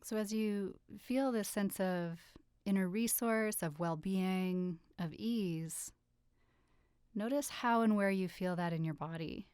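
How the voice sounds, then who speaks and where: A woman leading a guided relaxation meditation with soothing, gentle instructions and unhurried pauses. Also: mid-range voice, smooth, no room sound, studio quality. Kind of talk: guided meditation, yoga nidra.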